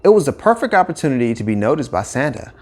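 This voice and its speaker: A man narrating a scripted children's story with expressive, emphatic phrasing. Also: medium pitch, quick pace.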